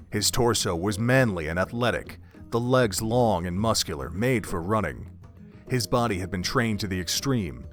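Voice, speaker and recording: A male narrator tells a dramatic story from a script. His voice sits on the low side, in the style of audio drama narration.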